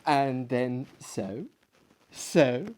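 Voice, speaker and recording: A man's voice: mid-range voice, very slow, a little mellow, voice pushed down. A man voicing a coy, earnest, sweetly mad young lady in a story reading. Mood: coy, eager.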